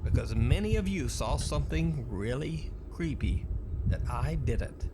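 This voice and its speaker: A man narrating a scripted countdown of creepy videos in a measured, dramatic storytelling manner. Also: mid-range voice, animated, noisy background. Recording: music bed under narration. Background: music.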